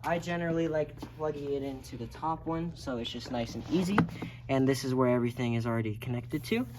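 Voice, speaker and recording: Noisy amateur video, tutorial instructor, casual delivery, step-by-step explaining, medium pitch. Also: quick pace. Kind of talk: hands-on tutorial demonstration. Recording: noisy amateur video recording, background noise.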